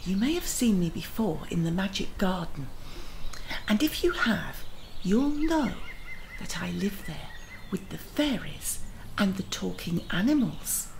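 A woman playing a gentle, kindly magical garden auntie who leads a children's guided meditation in a soothing, often near-whispered voice. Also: mid-range voice, sing-song, unhurried, vocal fry, a little hiss or hum. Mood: gentle, inviting. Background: music.

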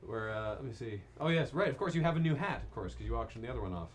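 A man's voice: medium pitch, animated, very fast, a little hiss or hum. A man hosting a live charity stream, chatting casually with a guest, stammering through restarts and laughing easily. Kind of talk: casual chat. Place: crowded studio room, indoors.

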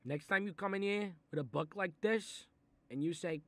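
A man's voice: sits high, normal speed, a bit bright, dry recording. Man putting on a short-tempered Italian-American mob boss. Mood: exasperated. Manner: gruff, threatening, muttering asides, exasperated. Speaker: mob boss character reading a picture book aloud. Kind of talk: comic improvised character monologue.

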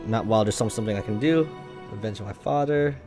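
A man's voice: fairly low pitch, fast, over music, lightly acted. A man voicing an earnest, plainspoken young woman, a royal mech pilot in a visual novel. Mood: apologetic, resolute.

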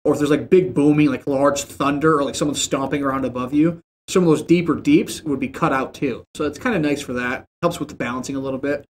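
A young man in a home streaming room who explains audio settings in a relaxed, rambling way. He uses lots of fillers, and his desk mic sits about a foot and a half from him. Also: mid-range voice, quick pace, a little hiss or hum. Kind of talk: casual screen-share tutorial. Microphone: desk mic about a foot and a half away, headset on.

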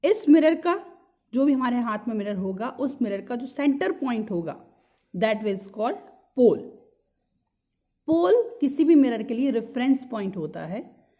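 High, mellow-voiced Indian woman teaching. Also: very animated, measured pace, long pauses. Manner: didactic, repeats key terms and asks rhetorical questions. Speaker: physics teacher. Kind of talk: explanatory school lesson mixing Hindi and English. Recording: dull lo-fi sound.

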